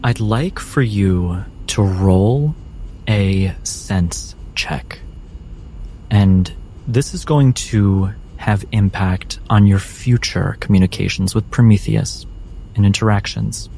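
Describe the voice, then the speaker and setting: Male game master, low and mellow, measured as he sets scenes and explains choices, light music behind. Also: gravelly, a little hiss or hum. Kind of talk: guiding and narrating a tabletop game.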